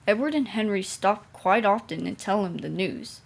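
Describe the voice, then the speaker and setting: A woman's voice: mid-range voice, expressive, moderate pace, creaky. A young woman reading a children's storybook aloud as its narrator. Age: young adult.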